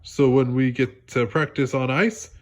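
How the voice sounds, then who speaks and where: A man voicing an eager, earnest young sea sponge in an excitable, emphatic storybook character voice. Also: mid-range voice, unhurried, close to an everyday voice. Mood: friendly.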